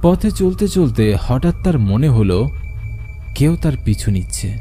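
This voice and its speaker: A man's voice: mid-range voice, sing-song, unhurried, dark tone, faint music behind. A man narrating a scripted horror story for a cartoon.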